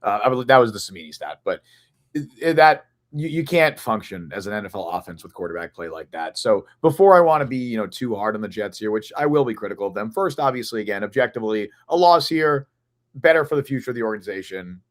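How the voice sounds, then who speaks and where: A man with a hoarse, raspy voice from losing it, co-hosting a sports podcast and thinking aloud in long, earnest run-on stretches. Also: on the low side, lively intonation, fast talker. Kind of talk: casual sports analysis chat.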